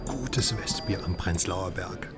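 A man's voice: sits low, slow, music in the background. Jovial, musing West German man. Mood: relieved, amused. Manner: musing, amused, expansive. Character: jovial, optimistic West German man philosophising over beer. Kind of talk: naturalistic German radio drama acting.

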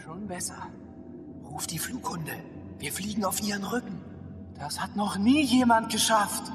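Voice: mid-range voice, a little slow, big-room sound, over music, lightly acted. A performer playing a young, inventive child gamer in a radio drama. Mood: eager, casual.

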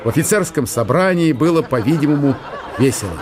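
A man's voice: a little high, animated, a little fast, crowd noise. A man narrating a literary historical radio drama as a scripted storyteller.

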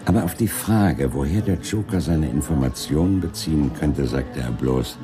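Very deep-voiced shrewd police inspector. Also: male voice, moderate pace. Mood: musing, authoritative. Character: seasoned male police chief inspector, shrewd, in command. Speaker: actor in a crime radio play. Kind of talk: 1980s German crime radio drama acting. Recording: studio radio play with music behind.

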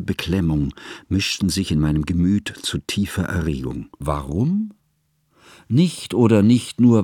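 An actor playing an earnest, scholarly friend who narrates a composer's life in formal, measured phrases for a radio drama. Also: male voice, low voice, warm tone, dry recording, clean studio sound.

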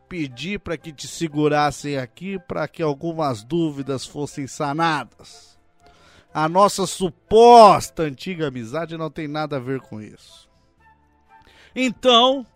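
A man playing a stern tiger police detective in a comedic audio drama, speaking formally and deliberately with cold sarcasm. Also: a little high, no echo.

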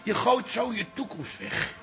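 Grumpy, bossy old schemer, gravelly yet sharp, radio comedy. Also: male voice, extremely high pitch, a little slow, faint music behind, heavily acted. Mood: wheedling, bossy. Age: older. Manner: blunt, wheedling, calls people boy. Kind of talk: radio comedy drama acting.

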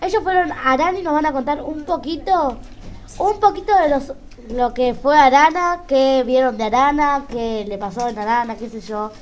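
A woman with an Argentine accent casually hosting a live community radio show in a halting, repetitive, informal way. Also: high voice, a little hiss or hum.

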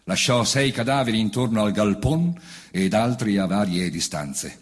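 A man's voice: lowish voice, lively intonation, normal speed. A man reciting a memoir in a declamatory, theatrical stage style. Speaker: reciting actor. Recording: loud band music behind the voice. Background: brass band accompaniment.

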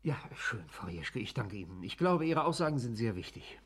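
An actor playing a patient, authoritative judicial official in a German radio drama, questioning in a measured, courteous way. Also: male voice, medium pitch, big pitch swings, slightly rough. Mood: courteous, probing.

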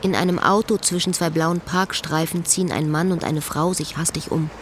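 An actress playing a melancholy, wry woman who narrates and speaks in a literary radio play, measured and terse. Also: on the low side, steady tone, noisy background, lightly acted. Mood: pensive. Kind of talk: literary radio play, inner monologue and dialogue.

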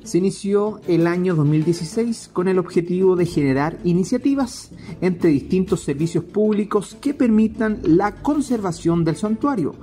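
A woman's voice: on the low side, lively intonation, moderate pace, mellow. A woman reading a scripted news report as a radio reporter. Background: faint music.

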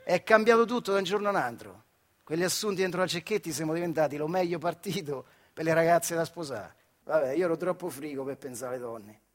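A male actor plays a working-class storyteller from a radio stage monologue, a man with a fairly high voice and a central Italian Marche accent. He recalls his life in earthy, colloquial phrases. His delivery is wry and emphatic, broken by dramatic pauses. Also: lightly acted. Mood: proud, animated. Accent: central Italian, Marche dialect.

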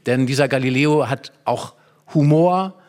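A man's voice: medium pitch, measured pace, darkish tone. Man explaining literature in conversation. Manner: explanatory, with illustrative examples and tag questions. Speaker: literary expert guest.

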